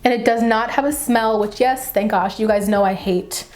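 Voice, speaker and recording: A woman beauty vlogger chatting casually and enthusiastically to camera, with a slightly dry, raspy voice from getting over the flu. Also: fairly high pitch, normal speed, a little mellow. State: getting over the flu, mild sore throat. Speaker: beauty vlogger, channel host.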